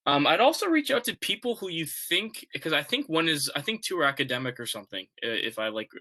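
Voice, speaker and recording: A young man speaks over a video call, his voice bright and a little forceful. He rambles casually, piling up "like"s and restarts as he gives advice. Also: on the high side, lively intonation, quick pace. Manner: chatty, rambling, many likes and restarts. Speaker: student ambassador.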